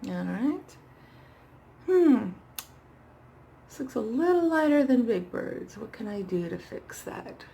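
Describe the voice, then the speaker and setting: A middle-aged woman with a hoarse voice, chatting casually and playfully to herself as she demonstrates makeup, often poking fun at herself. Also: a little high, sing-song, slow pace, long pauses, warm tone. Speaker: makeup vlogger. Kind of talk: casual makeup tutorial chat, talking to herself while working. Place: small indoor setup with dark backdrop.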